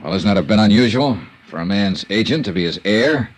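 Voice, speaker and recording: An actor playing a wry, wisecracking freelance insurance investigator who also narrates, in a 1950s radio drama. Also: male voice, fairly low pitch, lively intonation, fairly quick. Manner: wry, joking, laid-back narration. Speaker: lead and narrator.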